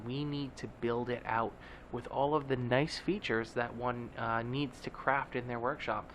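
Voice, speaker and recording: A man's voice: medium pitch, a bit bright, a little hiss or hum. A man with a stuffy, nasal voice giving casual, joking commentary as a let's play host. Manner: chatty, joking, with many fillers.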